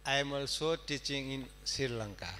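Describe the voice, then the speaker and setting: A man from Nepal, a non-native English speaker and Buddhist monk, giving a Dhamma talk in halting short phrases of simple English. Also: mid-range voice, bright, forceful tone. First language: not English. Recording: reverberant hall, electrical hum.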